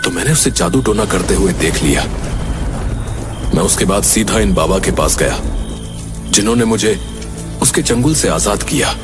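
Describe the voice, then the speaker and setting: A man's voice: on the low side, animated, normal speed, pauses often, music in the background, lightly acted. An actor playing a grave young man in a cartoon story, speaking in a serious, dramatic, explanatory way. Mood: grave, explaining. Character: grave young man warning his friend about witchcraft. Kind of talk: Hindi cartoon story dubbing.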